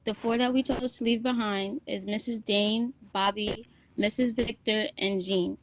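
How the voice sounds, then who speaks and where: A woman's voice: medium pitch, fairly even intonation, measured pace, dull audio. A young woman explaining her group's choices in a class presentation, with small slips. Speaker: student presenter.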